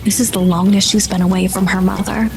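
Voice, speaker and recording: A woman's voice: medium pitch, little pitch movement, a little fast, vocal fry, over music. A woman working as game master, narrating atmospheric tabletop scenes in a dramatic storytelling manner.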